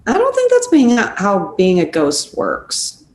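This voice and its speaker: A woman's voice: medium pitch, wildly swooping pitch, takes it slowly, darkish tone. A woman playing a blunt, sarcastic, impatient young best friend in a script table read. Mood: sardonic, impatient. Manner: sardonic, impatient. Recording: echoey room with faint noise.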